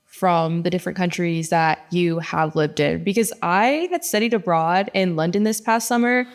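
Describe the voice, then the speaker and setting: A woman's voice: fairly low pitch, normal speed. A young woman hosting a student podcast, asking questions eagerly in casual chat and filling her speech with "like". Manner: eager, many "like" fillers.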